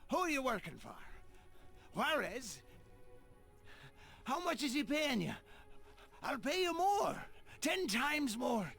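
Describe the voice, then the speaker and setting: A man's voice: extremely high pitch, wildly swooping pitch, slow pace, vocal fry, pauses often, fairly bright tone, soft background music, exaggerated voice. A man playing a cowardly, greedy villain in a Western game, frantic and wheedling in manner. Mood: panicked, bargaining. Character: cowardly, greedy, vengeful villain bargaining in panic. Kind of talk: Western game cutscene acting.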